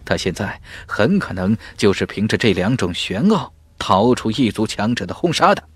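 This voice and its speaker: A man's voice: on the low side, very animated, normal speed, slightly rough, dry recording. A man voicing a keen commander in an audiobook, explaining with authority. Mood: pleased, excited. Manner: eager, explanatory.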